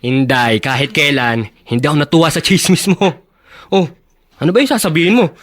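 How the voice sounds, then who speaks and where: A man's voice: a little high, a little fast, a bit bright, no echo. Impatient young Filipino man, dry-miked. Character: skeptical, irritated young Filipino grown son. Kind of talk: radio drama acting.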